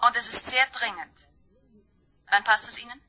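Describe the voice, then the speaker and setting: A woman's voice: a little high, steady tone, normal speed, pushed and bright. A woman playing an insistent, purposeful stepdaughter in a crime radio play, polite but urgent. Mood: urgent, determined.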